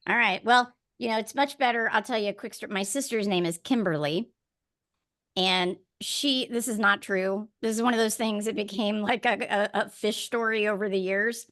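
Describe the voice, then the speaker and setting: A woman's voice: medium pitch, moderate pace, fairly bright tone. A woman hosting a casual interview, talking in a chatty, informal and joking way. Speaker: podcast host. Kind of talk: fun casual interview chat.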